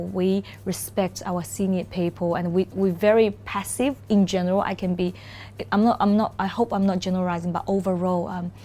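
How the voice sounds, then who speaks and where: Soft, low-voiced Chinese woman lawyer. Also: slight background noise. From China. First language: Mandarin. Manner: thoughtful, many "um" pauses and restarts. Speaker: corporate lawyer, interview guest. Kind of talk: relaxed interview conversation.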